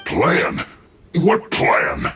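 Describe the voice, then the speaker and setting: A man's voice: mid-range voice, huge pitch swings, extremely slow, bright, forceful tone, exaggerated voice. A man voicing an aggrieved, blustering game henchman who pleads with whiny exasperation. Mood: indignant. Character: beaten, aggrieved male henchman soldier pleading his innocence. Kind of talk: video game character acting. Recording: echoey voice with music behind.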